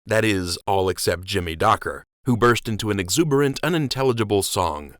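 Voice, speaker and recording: A man narrating an audiobook with expressive storytelling on a close studio mic. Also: fairly low pitch, brisk, no room sound.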